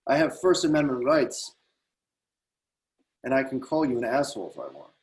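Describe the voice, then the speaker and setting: A man acting a defiant, taunting loudmouth. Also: medium pitch, big pitch swings, moderate pace, lightly acted. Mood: defiant, angry. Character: defiant rowdy large man with a radio, taunting police. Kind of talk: screenplay table read.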